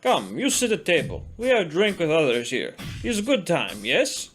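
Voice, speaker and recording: A man's voice: fairly high pitch, huge pitch swings, normal speed, fairly bright tone, soft background music, pitched above the performer's own voice. A man voicing a cheerful but menacing male vampire leader who speaks clipped broken English. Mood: mocking. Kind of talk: audiobook character voice.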